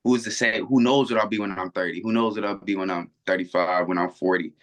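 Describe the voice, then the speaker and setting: Low-voiced earnest young man, rambling. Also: steady tone, fast, a bit bright. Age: 20s. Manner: earnest, rambling with many restarts. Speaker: interview guest. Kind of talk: reflective casual conversation. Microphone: video call.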